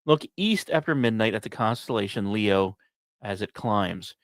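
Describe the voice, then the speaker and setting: A man hosting a podcast, reading scripted science narration with casual asides and dramatic, storyteller-like phrasing broken by deliberate pauses. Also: fairly low pitch, expressive, no room sound. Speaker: podcast host.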